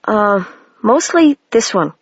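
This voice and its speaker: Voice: mid-range voice, big pitch swings, slow pace, dry recording, pitched above the performer's own voice, full character voice. Curious young wizard girl, as a reader voices her. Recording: dry, dull lo-fi home recording.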